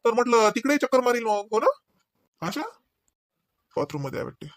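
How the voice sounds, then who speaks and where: A man's voice: very high voice, very animated, a little fast, no room sound, studio quality. A man voicing an easygoing, agreeable husband in a cartoon, rambling casually. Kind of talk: conversational cartoon dialogue.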